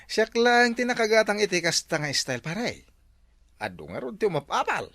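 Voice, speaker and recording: A man's voice: high voice, very animated, normal speed, a bit bright, no echo, heavily acted. A man with an Ilocano accent playing a boastful, fussy car owner in a village comedy drama.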